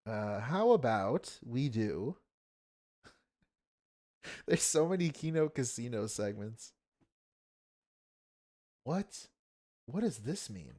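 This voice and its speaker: A man's voice: medium pitch, darkish tone. A man streaming live, reacting to videos with sarcastic, profane heckling and mocking commentary.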